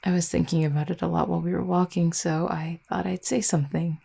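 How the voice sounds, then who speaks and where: A young vampire girlfriend, played by a woman, speaks softly and hesitantly in an intimate audio roleplay. Her low voice is full of gravelly fry, dark and mellow, with little melodic movement. She sounds tender and a little anxious. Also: flat delivery, exaggerated voice. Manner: hesitant, halting, soft-spoken.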